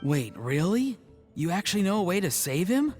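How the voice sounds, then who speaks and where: A man voicing a gentle, earnest, polite young healer in a video game. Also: high voice, very animated, normal speed. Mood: hopeful. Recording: background music.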